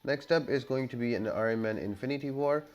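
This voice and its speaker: A man's voice: mid-range voice, a little fast, darkish tone, muffled recording. A man giving an instructional, step-by-step voice-over for a gameplay tutorial. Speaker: game guide video creator. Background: background music.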